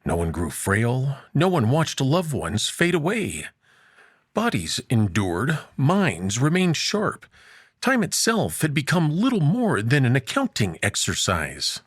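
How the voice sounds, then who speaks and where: A man hosting a story show and narrating a science fiction tale, expressive, dropping to hushed low lines and lifting for emphasis. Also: slow pace, breathy edge, no echo, studio quality. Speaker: host and narrator.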